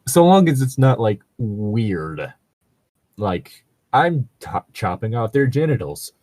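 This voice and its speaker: Husky guy just chatting, kinda low voice, super animated and jokey, swears a bit. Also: takes it slowly, dry recording. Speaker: co-host chatting about tabletop roleplaying. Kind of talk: casual chat.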